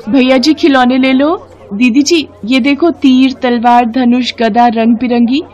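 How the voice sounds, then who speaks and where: A woman playing a caring, devout poor village wife who sells toys, with a gentle, pleading manner in a moral-story cartoon. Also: sits high, steady tone, measured pace, lo-fi sound. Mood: calling out.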